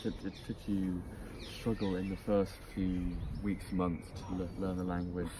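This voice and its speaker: A man with a very deep voice asks casual interview questions and restarts them halfway through. He sounds a little off-mic. A loud outdoor backdrop of birds and open air runs under him. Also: level tone, normal speed, noisy background.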